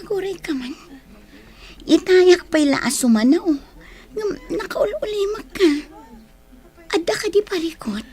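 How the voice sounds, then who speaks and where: A woman's voice: extremely high pitch, a little mellow. A woman acting a heartbroken young woman in a radio drama, pleading, with an Ilocano Filipino accent. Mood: affectionate. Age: young adult. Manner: pleading, drawn-out delivery. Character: heartbroken young woman, dumped by her boyfriend, tearful.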